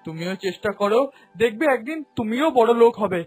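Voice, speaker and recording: High-pitched, earnest cartoon husband, played by a man. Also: lively intonation, fairly quick, music in the background. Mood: earnest preaching. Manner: pleading, preachy, emphatic. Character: earnest, anxious, moralizing husband. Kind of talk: Bengali cartoon fairy tale voice acting.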